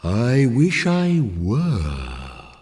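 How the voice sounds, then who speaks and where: A man voicing a haughty, easily offended talking apple tree in a children's story, with grandly drawn-out, correct speech. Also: mid-range voice, huge pitch swings, darkish tone, distant mic, voice pushed up, heavily acted.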